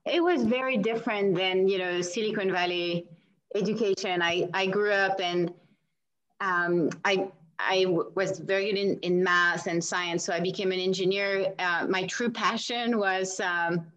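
A woman's voice: mid-range voice, measured pace, dull audio. A woman from France with a French accent, chatting as an interview guest and laughing often as she answers. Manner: warm and chatty, laughs often, many restarts.

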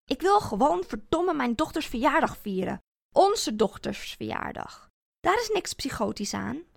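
A frantic mother near breaking point, as a woman reader puts her on, her voice climbing high and shrill with desperation. The voice is gravelly with heavy fry and sits close and dry. Audiobook character reading. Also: sing-song, a little slow, no echo, heavily acted. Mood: furious. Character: frantic, unstable young mother clinging to her child.

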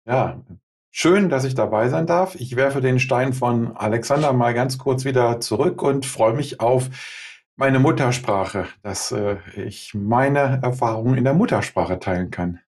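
Ein Mann, der als Experte in einer Online-Diskussionsrunde nachdenklich und Schritt für Schritt seine Sicht erklärt. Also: male voice, medium pitch, unhurried, darkish tone. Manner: thoughtful, explains step by step. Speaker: panel expert. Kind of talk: panel discussion answer.

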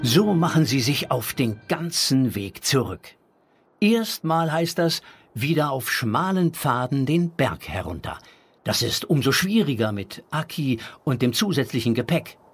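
Male narrator for kids' audio drama, expressive and dramatic, slightly breathy-rough voice, very varied intonation. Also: mid-range voice, a little slow. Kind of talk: scripted storytelling for a children's audio drama.

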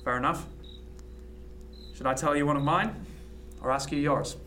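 A man playing a reserved first mate in a radio drama, quietly tender, with an understated, formal delivery. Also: a little high, normal speed, slight background noise. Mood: uncertain.

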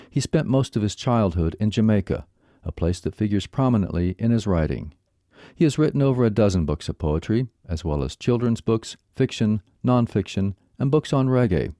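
A low, mellow man reads a scripted program introduction, letting his pitch rise and fall freely. His delivery is smooth and measured, and the recording is dry and close. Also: expressive, no room sound. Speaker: program announcer. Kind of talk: scripted narration.

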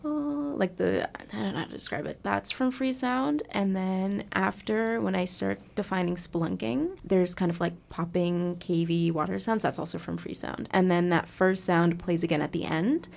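A woman's voice: mid-range voice, moderate pace, creaky, few pauses, a little mellow. A woman in a casual podcast chat, explaining things at length with lots of fillers. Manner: chatty, explains with many fillers. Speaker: podcast team member.

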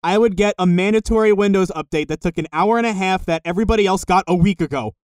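A man's voice: sits high, fast, a little mellow, dry recording. A man co-hosting a podcast, casually discussing films over a voice call. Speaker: podcast co-host. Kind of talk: casual film podcast chat. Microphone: voice call recording.

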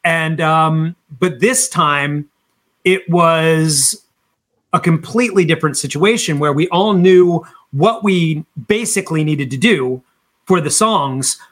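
Man, fifties, slightly high-pitched voice, emphatic, enthusiastic, casual interview talk. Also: slow pace, fairly bright tone. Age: 50s. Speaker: band singer being interviewed.

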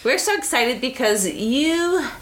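A woman's voice: high voice, animated, takes it slowly, a bit bright. A woman hosting a casual interview, asking chatty and enthusiastic questions. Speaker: interview host.

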